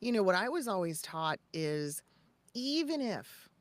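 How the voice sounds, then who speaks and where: A woman's voice: mid-range voice, sing-song, measured pace, no echo. A woman co-hosting a casual advice chat, talking in a conversational, emphatic way and acting out example replies. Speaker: podcast co-host and voice-over coach.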